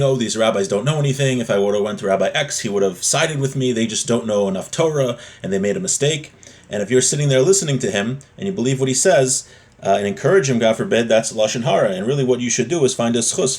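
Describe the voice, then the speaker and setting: A man giving an informal religious text lesson as a teacher, explaining and restating points with everyday examples. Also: lowish voice.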